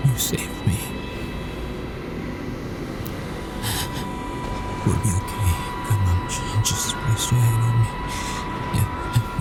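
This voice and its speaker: A man playing a tender, desperate boyfriend at the world's end in an intimate ASMR roleplay, murmuring breathily and haltingly. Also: medium pitch, gravelly. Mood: anxious, comforting.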